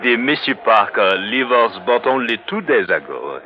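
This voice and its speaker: French hotel manager played by a man, evasive, hesitant broken English, French accent, animated, vintage lo-fi radio drama. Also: mid-range voice, moderate pace, close to an everyday voice. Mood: evasive, obliging. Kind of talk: old-time radio drama acting. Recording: dull vintage radio recording.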